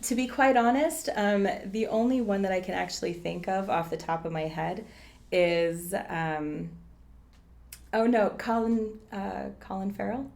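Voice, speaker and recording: A woman putting on an Irish accent as she gives an informal lesson on accents, explaining haltingly and thinking aloud as she coaches. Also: mid-range voice, creaky, darkish tone. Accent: put-on Irish. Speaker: accent coach giving a demonstration.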